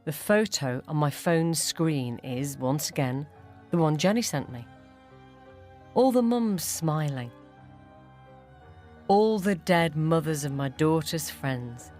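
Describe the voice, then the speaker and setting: A woman reading a first-person ghost story as scripted dramatic narration, measured and suspenseful. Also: deep voice, expressive, a little mellow, soft background music. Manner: suspenseful, measured, acts out dialogue.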